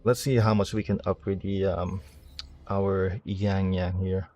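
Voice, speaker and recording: A man's voice: sits low, flat delivery, unhurried, a little mellow, muffled recording, soft background music. A man playing a video game and commentating casually, rambling and thinking aloud in a self-deprecating way. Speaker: streamer, game player.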